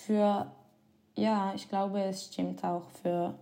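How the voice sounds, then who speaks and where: A young woman from Switzerland answering interview questions in hesitant learner's German with many pauses and small laughs. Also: mid-range voice, flat delivery, creaky, mellow. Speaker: guest, former exchange student.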